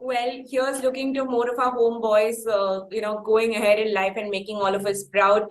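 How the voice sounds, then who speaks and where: Woman, Indian accent, slightly high voice, effusive interviewer, conversational, roomy echo. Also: little pitch movement, moderate pace. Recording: echoey room sound.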